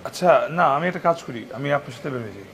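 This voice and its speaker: An actor playing a scheming, insistent former lover in a Bangladeshi TV drama, with a Bangladeshi accent. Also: male voice, medium pitch, expressive, very fast, fairly bright tone. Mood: polite. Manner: mixes English phrases into speech. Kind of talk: TV drama acting.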